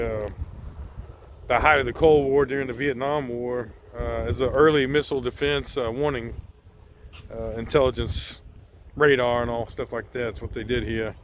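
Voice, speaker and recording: A man's voice: mid-range voice, slight background noise. A middle-aged man giving casual, unscripted vlog commentary in a hesitant, rambling way. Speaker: vlog host.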